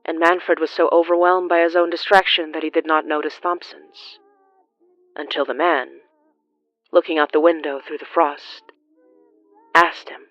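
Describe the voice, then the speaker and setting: Horror audio fiction narrator: grave, deliberate, medium pitch, pausing between phrases, on a slightly muffled recording. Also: little pitch movement, no room sound. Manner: grave, measured delivery with deliberate pauses. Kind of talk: scripted dramatic horror narration.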